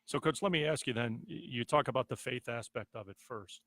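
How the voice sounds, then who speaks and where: A man hosting a podcast, asking measured, thoughtful interview questions. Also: mid-range voice, fairly bright tone, no echo.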